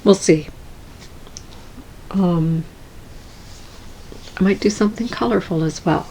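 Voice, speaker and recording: A woman chatting casually and calmly in her cross-stitch vlog at home, soft-spoken and unhurried. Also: fairly low pitch, gravelly, slight background noise, poor audio. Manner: calm, slow and soft-spoken. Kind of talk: casual chat.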